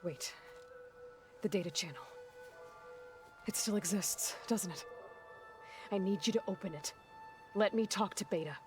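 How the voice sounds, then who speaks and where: A woman's voice: medium pitch, unhurried, long pauses, close to an everyday voice. An actress playing an earnest, determined young woman hero in a video game, speaking in an intense, direct way. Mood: hushed, resolute. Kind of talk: dramatic video game dialogue. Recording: background music under the voice.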